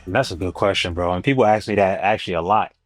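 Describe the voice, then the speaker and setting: A young man from New Jersey with a Jersey accent, a stand-up comedian talking casually as an interview guest in a relaxed, slangy way. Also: low-pitched, fast, loud music behind the voice. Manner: laid-back, slangy, animated when telling stories.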